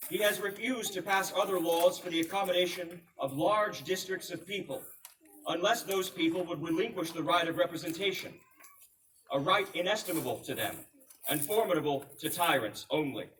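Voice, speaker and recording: A man's voice: fairly high pitch, a bit bright, distant mic, a little hiss or hum, natural voice, low-quality recording. A man playing a solemn, resolute colonial American statesman, reading a proclamation aloud as formal, stately public oratory with emphatic projection. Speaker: historical reenactor.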